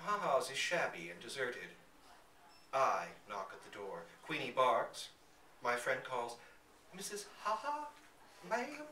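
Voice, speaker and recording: A man reading a literary story aloud to listeners in a bookstore, with theatrical, deliberate phrasing. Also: medium pitch, bright, forceful tone. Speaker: reader at a live literary reading. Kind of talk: expressive scripted story narration. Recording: echoey room, distant mic, faint background noise.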